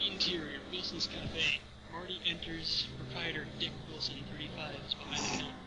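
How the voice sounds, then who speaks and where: A man's voice: a little high, little pitch movement, a little fast, heavy background noise. A man narrating stage directions in an informal read-aloud of a screenplay.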